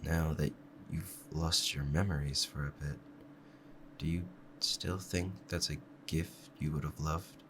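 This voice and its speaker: Guy doing a low soft clingy fox spirit husband, adoring yandere asmr roleplay, some background noise. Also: steady tone, measured pace, full character voice. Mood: adoring, giddy. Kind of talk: intimate ASMR roleplay addressed to the listener.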